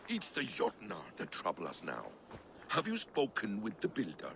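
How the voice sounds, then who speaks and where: A scheming trickster god asks probing, mock-innocent questions. His voice is gravelly and swoops around in pitch. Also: huge pitch swings, normal speed, fairly bright tone, a little hiss or hum, heavily acted. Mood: sly.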